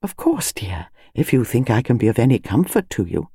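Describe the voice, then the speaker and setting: A woman voicing a prim, gentle elderly village spinster who speaks softly and doubtfully in an audiobook. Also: a bass voice, huge pitch swings, moderate pace, husky, no echo, clean studio sound, full character voice. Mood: doubtful, modest. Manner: doubtful, modest, soft-spoken. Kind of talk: audiobook character voice.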